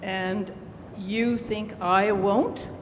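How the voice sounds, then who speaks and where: A woman's voice: medium pitch, steady tone, drawn-out words. A woman playing a tough, suspicious smuggler with blunt, earthy speech in an old-time radio drama, recorded in a hall. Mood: wary, bitter. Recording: echoey live hall, faint noise.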